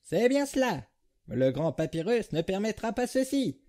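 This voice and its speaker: A man's voice: high-pitched, very animated, normal speed, mellow. A man voicing a bombastic, childishly enthusiastic skeleton in a comic dub, with theatrical, declamatory delivery. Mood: enthusiastic, proud.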